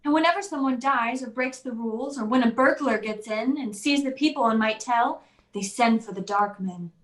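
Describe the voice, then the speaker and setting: A woman's voice: fairly high pitch, normal speed, natural voice. An actress playing a timid, naive young servant woman in a radio drama, speaking with fearful, innocent earnestness. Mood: horrified. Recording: roomy, echoing voice track.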